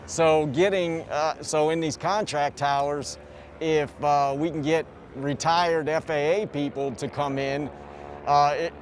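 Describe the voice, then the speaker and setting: A man's voice: a little high, fairly even intonation, a little slow. An older man, an air traffic controller, talking casually in an interview. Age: 50s or older.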